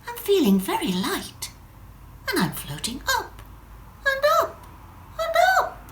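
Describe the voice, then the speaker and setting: Woman voicing an excitable, high-pitched little water drop. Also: slow pace, slight background noise, heavily acted. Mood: delighted. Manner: wide-eyed, exclaiming and questioning. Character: excitable, innocent little female water drop, easily bewildered. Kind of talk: children's storybook character voice.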